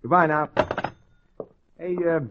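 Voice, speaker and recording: A man's voice: on the high side, flat delivery, slow, background noise. A man playing a cocky, wisecracking small-time gangster and ladies' man in a 1940s radio crime drama, with slangy tough-guy talk. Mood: casual, lively. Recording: muffled old radio broadcast.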